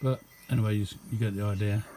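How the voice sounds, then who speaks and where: A man's voice: lowish voice, fairly even intonation, rapid-fire, dark tone, a little hiss or hum. A man giving a casual, unscripted show-and-tell as a hobbyist, rambling along in an understated way. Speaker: hobbyist demonstrating a homemade radio.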